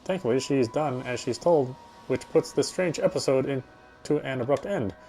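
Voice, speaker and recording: A man's voice: medium pitch, normal speed, mellow, soft background music, close to an everyday voice. A man playing a wry, self-justifying British husband, confiding his brooding inner monologue as first-person game narration. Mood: resigned, reflective.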